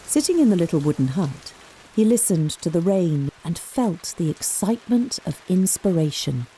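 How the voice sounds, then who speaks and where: A woman narrating a scripted folk tale in an expressive, measured storyteller's manner. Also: on the low side, slight background noise, low-quality recording.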